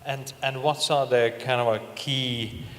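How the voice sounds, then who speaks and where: A middle-aged man moderating a panel, asking questions in a halting way with non-native phrasing. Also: medium pitch, little pitch movement, fairly bright tone. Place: large indoor conference stage. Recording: echoey, reverberant conference hall.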